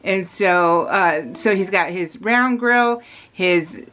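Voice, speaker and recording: A woman's voice: fairly low pitch, takes it slowly, a bit bright. A middle-aged woman with an American accent, rambling casually and giggly as she narrates a vlog, often interrupting herself. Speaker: vlogger. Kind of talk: casual chatty voice-over ramble.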